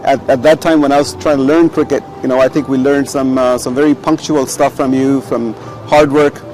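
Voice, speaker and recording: A man from Pakistan hosting a casual cricket chat, halting with frequent fillers and restarts. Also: mid-range voice, steady tone, darkish tone, faint music behind, poor audio. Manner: hesitant, many fillers and restarts. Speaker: sports show host.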